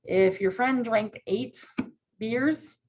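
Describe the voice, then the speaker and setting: A woman's voice: fairly high pitch, very slow, slight background noise. Teacher, woman, patient read-aloud lesson, keeps checking in with students. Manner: patient, checks in with frequent "right?" questions.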